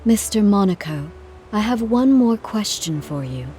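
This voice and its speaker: A woman playing a courteous, reflective, dignified travelling performer in a video game, with measured formal delivery. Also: medium pitch, animated, warm tone, close to an everyday voice. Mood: gracious. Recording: music under the voice.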